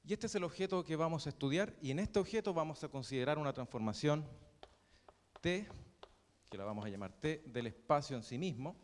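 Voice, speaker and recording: A young man with a Chilean accent giving a university math lecture, halting often with fillers and restarts. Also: a little high, expressive. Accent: Chilean Spanish. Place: lecture hall. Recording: reverberant lecture hall.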